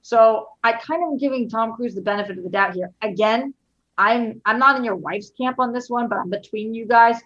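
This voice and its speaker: A woman from Boston in casual conversation as a co-host, talking loudly and passionately and swearing freely. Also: a little high, a little fast, dull audio. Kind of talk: casual chat.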